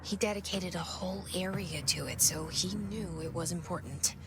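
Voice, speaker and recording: An actress playing a determined, guarded young woman in a video game, speaking in a hushed, intimate inner-monologue style. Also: lowish voice, normal speed, noisy background, lightly acted. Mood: focused, guarded. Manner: hushed, intimate, often half-whispered.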